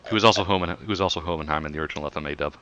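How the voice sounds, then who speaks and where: Deep voiced guy co-hosting a podcast, crisp bright edge, jumps in with jokey asides. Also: rapid-fire, highs cut off. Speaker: podcast co-host. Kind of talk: casual banter among friends.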